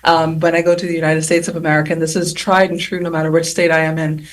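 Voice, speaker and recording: A woman with a fairly low voice talks as a guest on a roundtable panel. She is chatty and animated, restarting her sentences and filling pauses with ums. Her voice comes across from a little distance off the mic. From Bahamas. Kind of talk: roundtable discussion.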